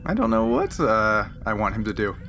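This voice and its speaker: Hesitant man with lively pitch, running a game. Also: creaky, slight background noise. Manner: halting, thinking aloud. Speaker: game master. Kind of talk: improvised narration of a tabletop game.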